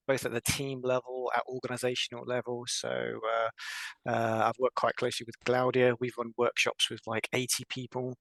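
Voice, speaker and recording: A man speaking as a podcast guest and trainer, steady and plain, with fillers. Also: mid-range voice, gravelly, no room sound, poor audio. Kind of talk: casual interview chat.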